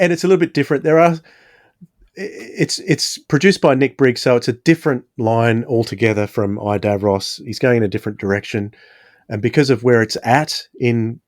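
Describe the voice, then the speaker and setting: A man's voice: mid-range voice, no room sound, studio quality. A man hosting a podcast, chatting casually as he reviews audio dramas. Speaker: podcast host.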